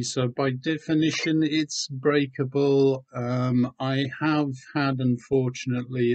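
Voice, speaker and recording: A man in his sixties from Wales, talking casually and hesitantly in a low-key, understated way as he presents a home vlog. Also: darkish tone. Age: 60s. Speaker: online seller and video host. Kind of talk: casual vlog chat, showing items. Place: small room at home with bookshelves.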